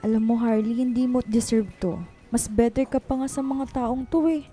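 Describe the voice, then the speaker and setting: Woman acting a very mellow, protective office friend. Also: fairly high pitch, fairly even intonation, moderate pace, soft background music, natural voice. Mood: consoling. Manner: consoling, indignant on friend's behalf. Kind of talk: radio drama acting.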